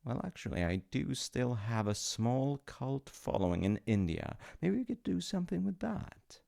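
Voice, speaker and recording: A man's voice: mid-range voice, sing-song, normal speed, vocal fry, mellow. A man playing an awkward, chatty demigod of reincarnation in a comedic audio roleplay, stammering and apologetic as he talks to the listener. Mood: mildly indignant, wheedling. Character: flustered, chatty male demigod in charge of reincarnation.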